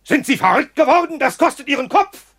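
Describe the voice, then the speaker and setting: A man playing a stubborn, irritable German army major in a 1960s radio drama, curt and commanding with a husky voice. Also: extremely high pitch, very animated, brisk, a bit bright, no room sound, studio quality, full character voice. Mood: furious.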